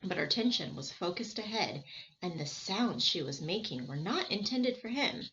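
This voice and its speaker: A woman's voice: medium pitch, lively intonation, moderate pace, fairly bright tone. A woman reading a children's adventure story aloud like a teacher, expressively, with occasional stumbles. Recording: muffled, with clear background noise.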